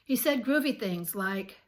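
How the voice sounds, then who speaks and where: A woman in her sixties hosts her own channel, her voice scratchy and a little worn. She reads her reflections slowly and earnestly in a soft tone, pausing now and then to clear her throat. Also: medium pitch. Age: 60s. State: scratchy voice from illness. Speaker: channel host. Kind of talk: reflective reading to camera.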